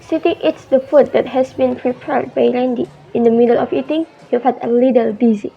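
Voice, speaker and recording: A woman's voice: on the high side, steady tone, fairly quick, gravelly, breathy edge, lo-fi sound. A young adult woman with an Indonesian accent reading scripted narration for a radio drama.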